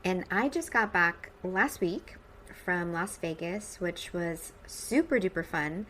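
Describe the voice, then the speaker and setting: Woman, 40s, American accent, lower voice. Upbeat, informal podcast host; some background noise. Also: lively intonation, slow, pushed and bright. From United States, suburban Midwest. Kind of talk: personal podcast monologue.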